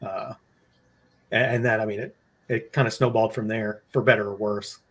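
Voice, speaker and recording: A man in his late thirties chatting casually as a guest, relaxed and rambling with lots of fillers. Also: fairly low pitch, moderate pace. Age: 30s. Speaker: guest toy collector. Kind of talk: casual chat.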